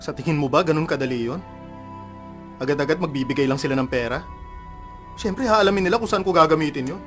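Radio drama: sullen young male Filipino wastrel, played by a man, slightly high voice, self-justifying. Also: quick pace, fairly bright tone, soft background music, lightly acted. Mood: dejected. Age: young adult. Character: troubled, defensive young gambler son in debt.

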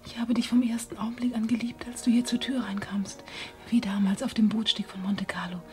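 An actress playing a wistful, lovestruck woman in a romantic radio drama, breathy, intimate and confiding. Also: on the high side, level tone, a little fast, close to an everyday voice. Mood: tender, intense.

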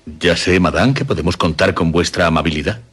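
A man playing a courtly, affectionate father in a radio drama, speaking formally and expressively in a husky Castilian Spanish voice. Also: sits low, brisk, a bit bright. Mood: courteous, concerned.

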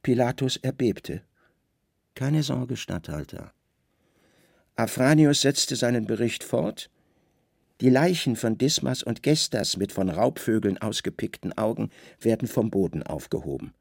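A man narrating a literary radio drama in a deliberate, dramatic storytelling manner. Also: medium pitch, creaky, a little mellow, no room sound, clean studio sound. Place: dry studio.

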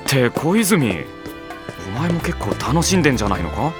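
A man playing a sardonic, put-upon high school boy who narrates and grumbles in an anime audio drama. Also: normal speed, over music, close to an everyday voice. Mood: dry. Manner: wry, exasperated, deadpan asides.

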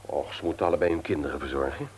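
A man's voice: very deep voice, rapid-fire, breathy edge. An actor playing a genial, curious married man and caring friend, talking colloquially in a radio drama. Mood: relaxed, curious. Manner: colloquial, animated. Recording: noisy old radio play recording.

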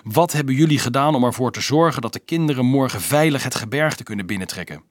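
A man's voice: mid-range voice, animated, fast, no room sound, clean studio sound, close to an everyday voice. Man playing a firm, proud teenage boy. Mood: proud, challenging. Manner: firm, emphatic. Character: proud, reasoning teenage boy standing up to leaders.

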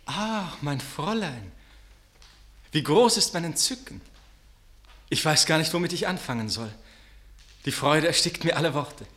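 A man's voice: on the high side, expressive, measured pace, long pauses, fairly bright tone. A young man acting a gallant, pompous lover-doctor in a radio play, with florid, precise theatrical diction. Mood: rapturous. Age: young adult. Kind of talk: declamatory stage comedy in radio drama.

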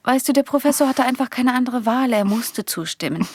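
An actress playing a hardened communist agent in a German radio play, confiding in a condescending, insistent way. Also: female voice, a little high, animated, brisk, a little mellow, no room sound. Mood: urgent, explaining. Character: hardened female communist agent confiding in a naive young lover.

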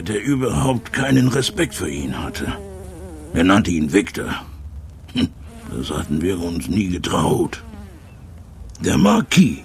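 A bitter, haunted old trumpeter in a radio play. The voice is lowish and gravelly, swinging wildly between brooding and eruption. He rambles and repeats himself like a broken man. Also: slight background noise, heavily acted. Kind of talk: German radio drama acting.

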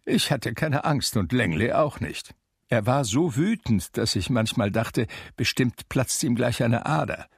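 A man acting the narrator of a radio drama, a reflective blind man telling his memories in a measured, wry, gravelly voice. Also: medium pitch, expressive, no echo, studio quality, full character voice.

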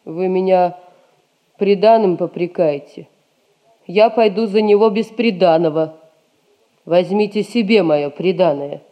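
Slow, defiant young woman played by an actress. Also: lowish voice, mellow, close to an everyday voice. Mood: defiant, resolute. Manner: deliberate, emphatic, stubborn delivery. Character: headstrong, lovelorn young merchant's granddaughter, defiant. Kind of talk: Russian stage comedy recorded as radio play.

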